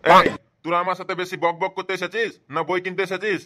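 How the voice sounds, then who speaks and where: A man's voice: sits high, level tone, fast, pushed and bright. A man voicing a grumpy, bald old bookshop keeper in a comedy cartoon, scolding and sarcastic. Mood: irritated, defiant. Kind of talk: comic cartoon dubbing.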